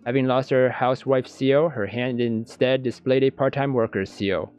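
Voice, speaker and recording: A man reading a visual novel aloud in a let's play, breaking off for halting, stammering reactions. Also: mid-range voice, steady tone, darkish tone. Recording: dull lo-fi audio. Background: guitar background music.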